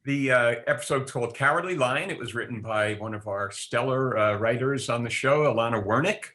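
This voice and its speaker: A man presenting a table read and reading stage directions, informal and somewhat halting. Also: medium pitch, pushed and bright. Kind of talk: live event introduction and stage-direction reading.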